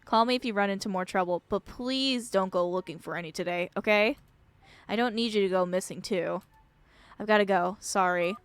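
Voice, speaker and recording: A woman's voice: mid-range voice, moderate pace, clean tone, no room sound, close to an everyday voice. A woman voicing an irritable, worried woman in a visual novel, curt and exasperated.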